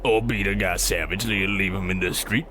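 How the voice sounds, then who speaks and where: A man's voice: low-pitched, steady tone, brisk, natural voice. A man playing a sly street mugger who feigns friendliness with sardonic menace in an old-time radio comedy. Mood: menacing. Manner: sardonic, insinuating street-tough talk. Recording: noisy street ambience with traffic.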